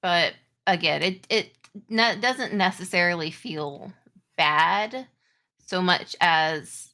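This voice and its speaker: Bright-voiced, slow-paced woman game master. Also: lowish voice. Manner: halting, searching for words, repeats "like". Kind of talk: improvised narration of a tabletop game.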